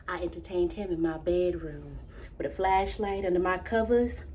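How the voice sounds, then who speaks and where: An imaginative, excitable young girl, acted by a woman with an American accent, tells her story as a theatrical monologue. The voice is high and very animated, moving dramatically between confiding and proud exclamation. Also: measured pace, a little hiss or hum. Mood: hushed, confiding. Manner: dramatic, wide swings from hushed confiding to exclaiming.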